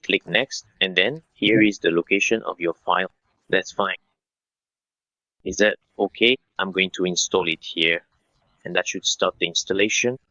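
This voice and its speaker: A man in his thirties narrating a step-by-step software tutorial in an instructional way, speaking directly to viewers. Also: low-pitched, fairly even intonation, moderate pace, no echo, muffled recording. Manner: instructional, addresses viewers as guys.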